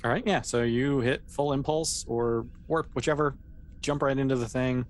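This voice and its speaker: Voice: medium pitch, fairly even intonation, normal speed, a little hiss or hum. A game master narrating a tabletop game off the cuff, explaining things in a loose, conversational way full of asides.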